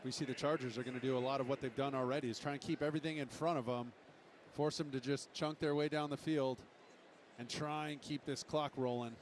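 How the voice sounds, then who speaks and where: A man's voice: medium pitch, steady tone, a little fast. A man giving colloquial, emphatic football analysis as a broadcast color commentator. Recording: noisy stadium ambience behind broadcast.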